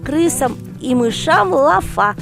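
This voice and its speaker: A woman's voice: high-pitched, animated, slow pace, gravelly, over music, voice pushed up, full character voice. A woman voicing a curious, cheeky schoolboy grandson in a storybook reading, eager and colloquial. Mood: excited.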